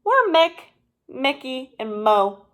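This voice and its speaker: Woman voicing a bold, high-pitched crafty turkey hen. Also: sing-song, slow, a bit bright, exaggerated voice. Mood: flattering, scheming.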